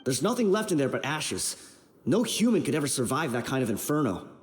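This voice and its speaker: An actor playing a disgruntled, bitter desert brigand in a video game, dismissive and excitable. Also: male voice, mid-range voice, a little fast, a little hiss or hum, lightly acted. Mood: scornful. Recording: faint game music behind the voice.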